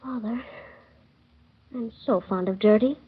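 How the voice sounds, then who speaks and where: A woman's voice: fairly high pitch, measured pace, husky. A woman playing an earnest little boy in a 1930s radio drama, asking plain, eager questions. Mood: pleading. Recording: dull 1930s radio broadcast.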